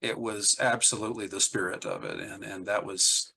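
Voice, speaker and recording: A man's voice: lowish voice, wildly swooping pitch, moderate pace, creaky, bright, forceful tone, a little hiss or hum. A middle-aged man chatting casually as an interview guest, telling anecdotes in an enthusiastic, rambling way. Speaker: interview guest, singer-songwriter.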